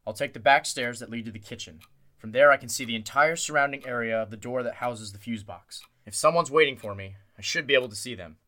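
A man's voice: mid-range voice, fast talker, pushed and bright, lightly acted. An actor playing a tense, protective man in the style of an old-time radio drama. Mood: resolute. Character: Clint, tense protective man searching in fog.

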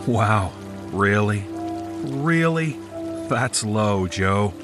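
A man plays a teenage boy in an audio horror story. He is lovelorn and covers it with nonchalance, in a fairly low voice. Music runs behind the dialogue. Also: lively intonation, extremely slow. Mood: dry banter.

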